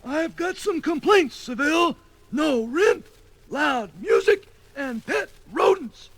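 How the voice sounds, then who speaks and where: A blustering, bullying landlord for a cartoon story record. The voice is extremely high and booming, snarling with menace, and dryly recorded. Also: male voice, expressive, takes it slowly, a bit bright, no room sound, heavily acted. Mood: angry, threatening.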